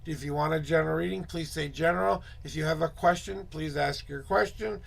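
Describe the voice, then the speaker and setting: A hoarse man hosting a casual tarot livestream, muttering asides to himself and greeting viewers in a loose, chatty way. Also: a little high, fairly bright tone. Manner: upbeat, drawn-out greetings to viewers. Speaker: tarot reader and livestream host.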